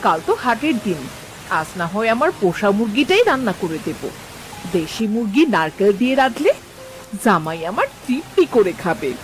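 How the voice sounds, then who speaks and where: Cartoon mother-in-law female actor: animated, homely, coaxing, middle-aged, over a music bed. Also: fairly high pitch, normal speed, poor audio. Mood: coaxing, insistent. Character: caring, insistent village mother-in-law, middle-aged housewife. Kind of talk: Bengali cartoon dialogue. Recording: background music under the voice.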